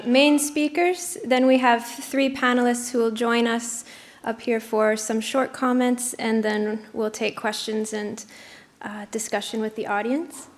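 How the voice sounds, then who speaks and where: A woman moderator introducing conference speakers in a brisk, organized way. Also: a little high. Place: conference hall.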